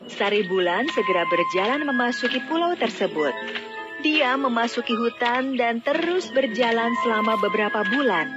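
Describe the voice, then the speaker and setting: A woman's voice: a little high, animated, normal speed. A woman narrating a scripted fairy tale as a storyteller. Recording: dull lo-fi audio, music bed. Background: background music.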